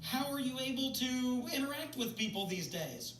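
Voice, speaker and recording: A man's voice: extremely high pitch, lively intonation, normal speed, a bit bright. Male TV host, casual and upbeat interviewer, playful banter, echoey room, off-mic. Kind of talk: casual broadcast interview chat. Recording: roomy, distant mic, faint noise.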